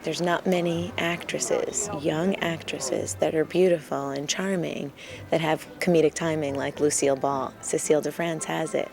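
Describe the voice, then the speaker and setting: A woman's voice: sits low, steady tone, normal speed, vocal fry, over music. A woman from the cast recalling moments on set with amusement. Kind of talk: interview anecdote.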